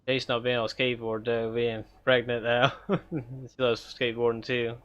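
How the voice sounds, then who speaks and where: A man's voice: mid-range voice, normal speed. Laid-back streamer guy on a dull mic. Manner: relaxed, chuckling, rambling. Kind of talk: casual chat while gaming.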